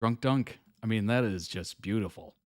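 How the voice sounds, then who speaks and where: A man hosting a reaction video, giving relaxed, chuckling commentary in short approving remarks. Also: on the low side, measured pace, no echo, studio quality.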